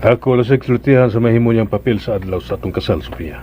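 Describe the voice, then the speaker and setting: A man's voice: on the low side, quick pace, dark tone, soft background music, natural voice. Man acting composed bridegroom with Cebuano Filipino accent. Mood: firm, calm. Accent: Filipino, Cebuano-speaking. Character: composed, well-meaning bridegroom giving instructions, a respected sir. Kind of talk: Philippine radio drama acting.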